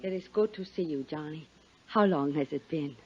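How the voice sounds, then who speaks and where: An actress playing a seductive, shrewd and willful mysterious woman with deliberate, coaxing speech in 1950s radio style. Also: deep voice, breathy edge. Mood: soft, seductive. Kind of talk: 1950s radio drama acting. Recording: dull 1950s radio recording.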